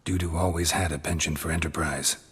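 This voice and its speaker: An actor playing a gruff, laconic monster hunter in a video game, with a gravelly, husky voice and a terse, dry manner. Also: male voice, extremely low pitch, fairly even intonation, brisk, fairly bright tone, exaggerated voice. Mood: dry, calm.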